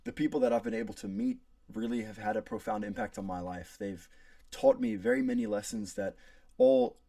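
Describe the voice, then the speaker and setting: A man's voice: lowish voice, vocal fry, warm tone, dry recording, far from the mic. A young man from South Africa with an American accent, giving an earnest prepared class speech about his travels, with frequent fillers and restarts. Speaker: student.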